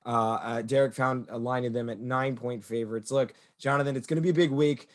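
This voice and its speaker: A young male host leads a college football preview with upbeat, announcer-style energy. His voice sits at an ordinary pitch but carries a slight rasp from a cold. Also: steady tone, brisk. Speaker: show host. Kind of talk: sports show hosting.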